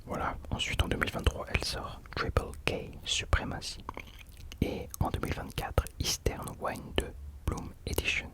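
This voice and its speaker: A man hosting ASMR in an unvoiced whisper, chatting informally and hesitantly, correcting himself as he goes. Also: normal speed. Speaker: ASMR host. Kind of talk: whispered ASMR chat about rappers.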